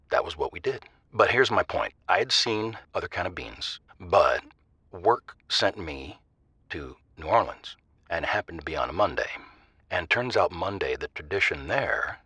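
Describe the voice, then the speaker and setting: Very deep, husky rambling man. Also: bright, forceful tone, no echo, heavily acted. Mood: earnest, eager, then amazed. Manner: rambling, emphatic, earnest. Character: earnest, rambling small-town man fixated on trivia. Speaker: caller. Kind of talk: comic audio drama, naturalistic caller monologue.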